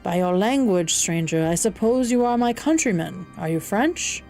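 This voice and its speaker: A woman's voice: mid-range voice, expressive, moderate pace, darkish tone, faint music behind, natural voice. A woman voicing a kindly, courteous blind old man in an audiobook, with a Southern US accent and an earnest, reassuring, formal manner. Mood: curious. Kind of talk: audiobook character voice.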